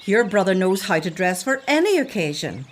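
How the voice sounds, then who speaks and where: A woman's voice: medium pitch, sing-song, normal speed. A fussy motherly housekeeper. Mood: fussing. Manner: bossy, fussing, affectionate. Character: bustling older woman servant, fussy and motherly. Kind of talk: radio drama acting.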